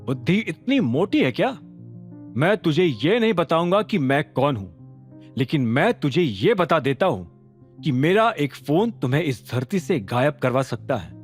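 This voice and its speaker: A man's voice: a little high, sing-song, a little slow. A man voicing a menacing, commanding gang boss in an audio drama, taunting and self-assured, with weight in the voice. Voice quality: heavy voice. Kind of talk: audio drama character acting. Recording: music behind.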